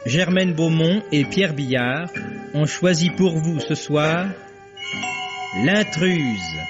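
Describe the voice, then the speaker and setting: Man, old-time radio announcer, scripted credits, unhurried formal delivery, animated intonation, music bed, lo-fi sound. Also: on the high side. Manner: formal, deliberate, dramatic presentation. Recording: dull vintage radio recording. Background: loud enough music to hear clearly.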